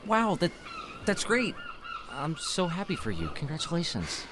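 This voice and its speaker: A man's voice: high voice, huge pitch swings, moderate pace, noisy background. An actor playing an eager, talkative young city man in a naturalistic audio drama. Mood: surprised. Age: young adult.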